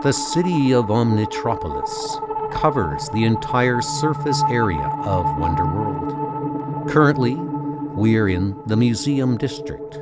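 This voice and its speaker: A man voicing a calm, grandiose alien museum guide in a comic book audio drama, speaking in a measured, formal way. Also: lowish voice. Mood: serene, stately. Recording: music under the voice. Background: music.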